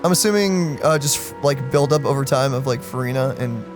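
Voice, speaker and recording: A man's voice: medium pitch, brisk. A man co-hosting a let's play with casual, colloquial, joking gaming commentary. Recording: game music behind voice.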